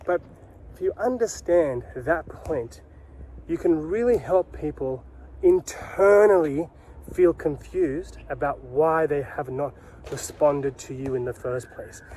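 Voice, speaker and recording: A man's voice: a little high, sing-song, slow pace, creaky, mellow, slight background noise, poor audio. A man giving an instructional talk to camera as a sales coach, speaking emphatically with repeated key phrases and rhetorical questions. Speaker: sales coach and video host.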